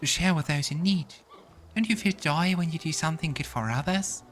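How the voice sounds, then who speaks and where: A man's voice: fairly high pitch, brisk, slight background noise, voice pushed up, natural voice. Delicate, kindly elf girl, voiced by a man. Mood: earnest, gentle. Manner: gentle, earnest, simple preaching. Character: frail young elf girl, gentle, kindly street preacher. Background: game music.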